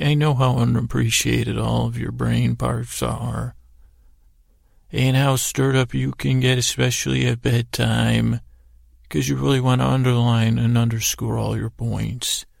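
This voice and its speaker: A man hosting a sleep podcast with a whimsical, meandering bedtime monologue, stammering and repeating words as he goes in a gravelly, creaky voice. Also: mid-range voice, dry recording, clean studio sound. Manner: whimsical, gently addressing imagined listeners. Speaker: podcast host.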